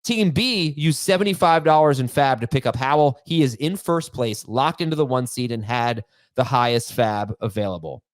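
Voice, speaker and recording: Nasal guy hosting a podcast, mid-pitched, talks casual and self-deprecating, dry close sound. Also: lively intonation, normal speed, few pauses, dry recording. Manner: chatty, self-deprecating, reads cases out step by step. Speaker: podcast host. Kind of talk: casual podcast chat, reading listener emails.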